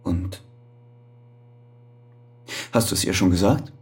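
A man's voice: low-pitched, slow pace, darkish tone, husky, exaggerated voice. An actor playing a numbed, embittered male prisoner in a radio drama, talking in a wry, detached way. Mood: probing. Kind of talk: radio drama acting with inner monologue.

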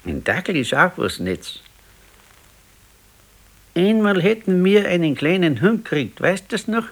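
A Bavarian man in his sixties, wistful and quietly stubborn. His voice sits a little high and moves in wide, lively swings. He muses in short, plain colloquial phrases, as in a naturalistic radio play about an ailing old husband. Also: unhurried, exaggerated voice. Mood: wistful, eager.

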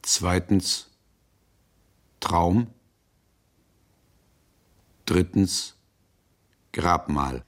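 Extremely slow, deep man reciting. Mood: solemn. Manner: clipped, deliberate, announcing. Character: male reader solemnly reciting a numbered list. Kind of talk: slow radio drama recitation.

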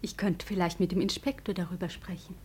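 An actress playing a polite, dutiful hospital nurse with a husky, breathy voice in a vintage radio drama. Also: female voice, on the low side, fairly even intonation, fairly quick, darkish tone. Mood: polite, hushed. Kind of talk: 1950 German radio drama acting.

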